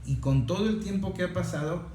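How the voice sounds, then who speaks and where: A man's voice: sits high, fairly quick, mellow. A man interviewing someone in an informal, conversational way, repeating phrases to press his questions. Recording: echoey, distant mic, faint noise.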